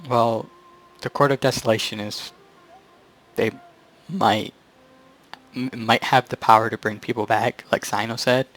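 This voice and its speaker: A man's voice: medium pitch, steady tone, unhurried, long pauses, a bit bright. A man giving casual, offhand and somewhat hesitant commentary while playing a video game. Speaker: gamer commenting on playthrough.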